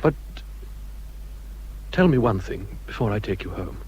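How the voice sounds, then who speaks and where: An actor playing a proud, passionate British naval captain in love, in a period radio drama, husky and intense. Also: male voice, lowish voice, big pitch swings, unhurried, darkish tone, a little hiss or hum. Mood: tender, earnest. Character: ardent naval captain suitor, proud, passionate, self-reproaching. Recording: slightly dull radio drama recording.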